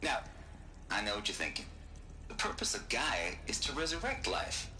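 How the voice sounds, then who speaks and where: A man's voice: on the low side, very animated, normal speed, bright, forceful tone, exaggerated voice. An actor playing a wry male scientist giving a folksy, lecturing recorded message in a video game. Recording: game audio over music.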